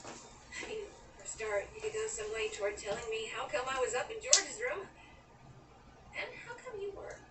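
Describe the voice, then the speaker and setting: A woman's voice: on the high side, lively intonation, normal speed, reverberant, distant mic, heavy background noise, natural voice. A woman playing a young, wry New Yorker grieving a friend, in an audio drama that mixes dialogue and narration. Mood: wistful. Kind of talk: audio drama acting with first-person narration.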